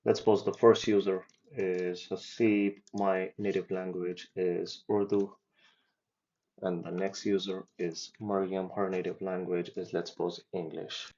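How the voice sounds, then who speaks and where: Low-voiced man walking through a software demo. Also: flat delivery, measured pace, lo-fi sound. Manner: explanatory, hesitant with ums and restarts. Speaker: developer giving a project demo. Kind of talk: casual screen-share demo walkthrough.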